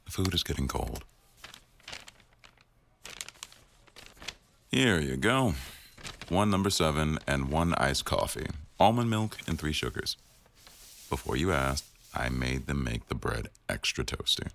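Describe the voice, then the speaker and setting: A man playing an attentive, dry-witted male news boss in an intimate boyfriend ASMR roleplay, speaking in a measured, gently teasing way. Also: extremely low pitch, very animated, creaky, full character voice. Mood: attentive, wry. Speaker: audio roleplay performer.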